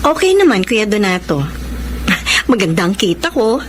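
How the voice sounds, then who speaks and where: A woman's voice: medium pitch, very animated, moderate pace, over loud music, lightly acted. An actress playing a cheerful, resilient hardworking widowed mother in a Filipino radio drama, with a Filipino accent. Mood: upbeat.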